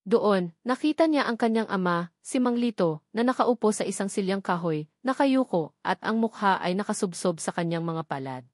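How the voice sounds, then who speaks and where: Lady reading a tearjerker story, measured and dramatic, dry audio. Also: mid-range voice, lively intonation, a little mellow, dry recording. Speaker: narrator. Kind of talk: scripted dramatic narration.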